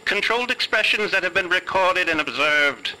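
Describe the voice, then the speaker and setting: A man voicing an eerie discarnate spirit that speaks slowly and formally from a ghost box in an audio fiction game. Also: high-pitched, expressive, pushed and bright, slight background noise, full character voice, poor audio. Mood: ominous, clinical. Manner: slow, deliberate, formal phrasing. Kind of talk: tabletop audio fiction role-play.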